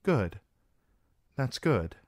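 A man's voice: mid-range voice, sing-song, drawn-out words, darkish tone, no room sound, studio quality, voice pushed up, heavily acted. A man voicing a young queen who speaks firmly and with command. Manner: firm, commanding.

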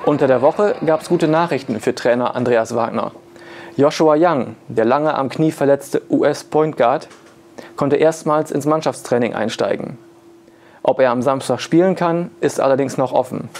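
A man's voice: mid-range voice, darkish tone. A man in his thirties reads a sports preview in a mid-range voice. He is recorded on a lapel mic in an office, delivering scripted lines to camera. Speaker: sports editor. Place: newspaper office, indoors.

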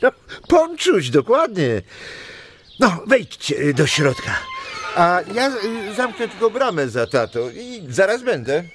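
A man playing a jovial, doting old grandfather in a children's audio drama, with chuckles and drawn-out exclamations. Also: sits high, wildly swooping pitch, music in the background, heavily acted. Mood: playful, conspiratorial.

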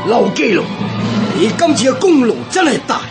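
An actor playing a proud supreme army commander, declaiming pompously in a historical radio drama. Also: male voice, very high-pitched, big pitch swings, moderate pace, music in the background, full character voice. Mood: triumphant.

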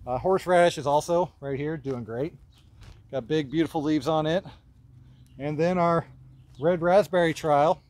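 A man in his thirties walking a vegetable field outdoors and talking casually into a phone camera held at arm's length, offhand and relaxed as he points things out. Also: medium pitch. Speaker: market gardener giving a farm tour. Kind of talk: casual walking tour talk.

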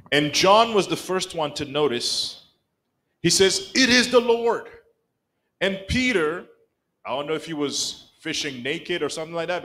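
Rwandan preacher guy telling a bible story in a church, acts out the voices, kinyarwanda first language. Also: fairly high pitch. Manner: animated storytelling, acts out quoted lines. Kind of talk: sermon. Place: church sanctuary, mid-sized room. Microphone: pulpit microphone, filmed by a far camera.

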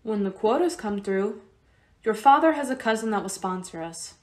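A woman's voice: medium pitch, lively intonation, moderate pace, close to an everyday voice. A woman playing a stern, brusque, no-nonsense mother in a radio play. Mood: brisk, practical. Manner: brusque, demanding. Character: stern, no-nonsense refugee mother, brisk and practical.

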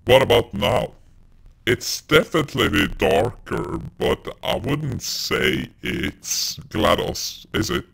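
A man casually demoing a real-time voice changer that turns his voice dark and robotic. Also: sing-song, slow pace, gravelly, husky. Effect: real-time pitch-lowering robotic voice changer.

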